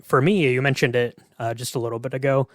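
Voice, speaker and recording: A man's voice: mid-range voice, fairly even intonation, fast talker, dry recording, clean studio sound. A man hosting a podcast in a casual discussion, speaking conversationally. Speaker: podcast host.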